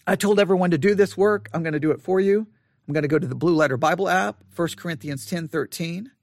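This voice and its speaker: A man leading a Bible study, thinking aloud in a halting way and often repeating words as he works through an idea. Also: fairly high pitch, no echo, studio quality.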